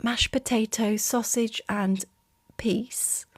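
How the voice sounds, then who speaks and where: A woman whispering softly in a breathy voice, playing an attentive air hostess in an ASMR roleplay. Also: fairly high pitch, steady tone, no echo. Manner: soft, slow, item-by-item listing.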